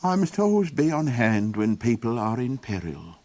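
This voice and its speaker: A man voicing an odd, forgetful little old man with a high, wondering, sing-song delivery. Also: sing-song, moderate pace, darkish tone, natural voice. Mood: whimsical.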